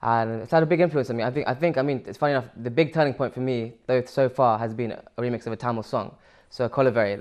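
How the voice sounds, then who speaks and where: A young man from Sri Lanka, answering interview questions casually with many fillers and restarts. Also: mid-range voice. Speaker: musician guest.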